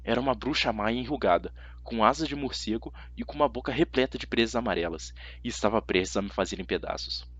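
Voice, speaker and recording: A man's voice: medium pitch, fast talker, few pauses, fairly bright tone, slightly rough, dull audio. A man with a Brazilian accent narrating a story dramatically in the first person, as an amateur audiobook reader.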